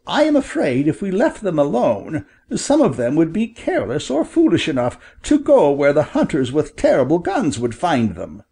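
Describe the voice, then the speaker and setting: A man's voice: fairly high pitch, wildly swooping pitch, moderate pace, gravelly, few pauses, warm tone, slightly rough, pitched above the performer's own voice, exaggerated voice. A man voicing a confident, reassuring father quail in a storybook, explaining things earnestly. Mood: decisive, protective.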